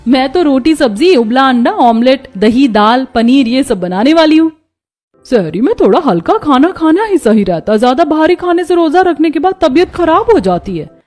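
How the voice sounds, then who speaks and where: A woman voicing friendly, chatty young housewives in a cartoon story, with expressive neighbourly talk. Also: sits high, normal speed, soft background music, pitched above the performer's own voice. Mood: cheerful, chatty.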